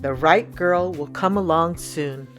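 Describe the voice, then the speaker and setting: A woman voicing a reassuring, motherly queen in a children's fairy tale, with a measured delivery. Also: fairly low pitch, faint music behind, lightly acted. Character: reassuring motherly storybook queen, curious and watchful.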